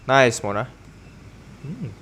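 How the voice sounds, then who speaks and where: A woman's voice: very deep voice, sing-song, slow, heavy background noise. A woman with an Indonesian accent giving casual, slangy, giggly reaction commentary as a game streamer. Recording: voice over loud game music.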